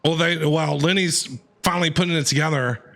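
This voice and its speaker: A man's voice: on the high side, steady tone, brisk, vocal fry, a bit bright, faint music behind. A man giving casual, informal live commentary as a game streamer, reacting and thinking aloud.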